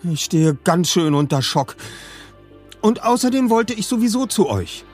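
Man voicing a shaken young woman, high-pitched. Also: very animated, unhurried, a little mellow, faint music behind. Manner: breathy, startled, earnest. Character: shaken young woman in her twenties, friendly. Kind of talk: audiobook character voice.

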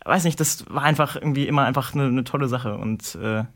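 A young man chatting casually as an interview guest, informal and halting as he thinks aloud. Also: medium pitch, a bit bright.

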